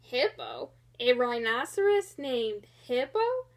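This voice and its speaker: Small young male porcupine, woman performer, storybook: polite, earnest, high and unhurried. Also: big pitch swings, full character voice. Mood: amused.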